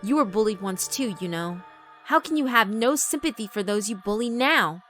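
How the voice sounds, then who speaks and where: A woman voicing a brave teenage schoolgirl who scolds a bully in a sharp voice. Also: mid-range voice, animated, moderate pace, lightly acted. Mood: scolding. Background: background music.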